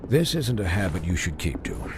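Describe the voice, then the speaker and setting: An actor playing a dry-witted, weary doctor in a video game, formal, courteous and a little condescending. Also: male voice, low-pitched, sing-song, moderate pace, noisy background. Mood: dry, stern.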